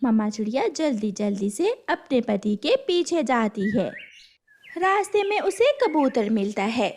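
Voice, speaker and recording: Children's story narrator: woman, fairly high, very animated delivery. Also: a little slow, a little hiss or hum.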